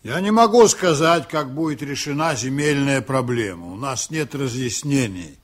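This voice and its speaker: A stern ship's captain played by a male actor in a Soviet radio drama. His mid-pitched voice is deliberate and formal, giving orders with composed authority. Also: expressive, lightly acted. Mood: loud, official. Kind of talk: 1980s Soviet radio play acting.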